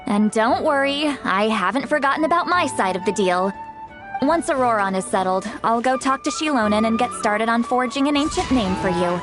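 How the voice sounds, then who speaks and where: A woman's voice: on the high side, animated, moderate pace, natural voice. A woman voicing a breezy, confident dealmaker. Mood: grateful. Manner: breezy, casual. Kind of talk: game voice acting. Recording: recorded game audio with music behind. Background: game music.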